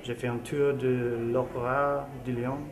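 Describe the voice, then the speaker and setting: A man from the United States with an American accent, giving a halting testimonial in a second language. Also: mid-range voice, flat delivery, background noise. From Chicago, United States. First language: English. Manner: halting, deliberate. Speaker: language student. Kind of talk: spoken testimonial. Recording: roomy, with background chatter.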